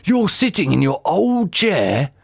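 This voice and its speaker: A reader voicing a cruel, gloating old man in a storybook, drawing out his taunts slowly. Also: mid-range voice, very animated, gravelly, husky, dry recording, clean studio sound, voice pushed up, exaggerated voice. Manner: slow, taunting, relishing each word. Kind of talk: storybook character voice.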